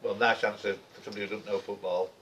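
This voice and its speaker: A man's voice: on the low side, flat delivery, rapid-fire, highs cut off. A man taking part in a quiz as a contestant, chatting and bantering casually. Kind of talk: casual banter among players. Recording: distant mic, faint room noise.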